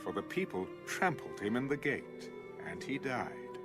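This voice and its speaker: A man's voice: mid-range voice, sing-song, measured pace. A man giving a scripted, dramatic narration of scripture. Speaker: audio Bible narrator. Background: music.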